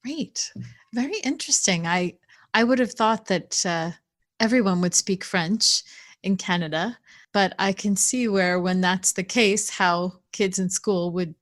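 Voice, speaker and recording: A woman hosting a podcast interview asks curious, upbeat questions. Her voice sits at a middle pitch for a woman. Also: measured pace.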